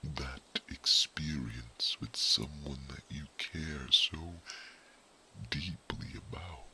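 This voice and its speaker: A man voicing a wise, devoted male mage who reads minds, speaking in a soft, formal whisper for an ASMR roleplay. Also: rumbling low, slow, a little hiss or hum, full character voice, poor audio. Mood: tender.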